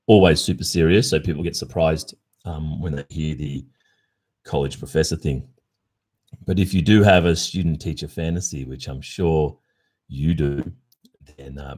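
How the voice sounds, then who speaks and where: An Australian man in his early forties with an exceptionally low voice. He chats informally on a livestream, answering listeners' questions. His delivery is thoughtful, with pauses and the occasional hesitation. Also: breathy edge. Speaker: ASMR roleplay streamer.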